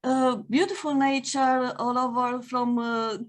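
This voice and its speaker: A woman's voice: sits high, unhurried. Romanian woman on a video call. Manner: steady, with frequent uh fillers. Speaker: guest. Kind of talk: casual interview talk.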